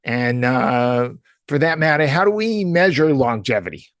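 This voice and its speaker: Guy hosting an interview, casual questions, medium pitch, dry clean sound with no room. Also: animated, moderate pace, a bit bright, no echo. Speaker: interview host, health practitioner.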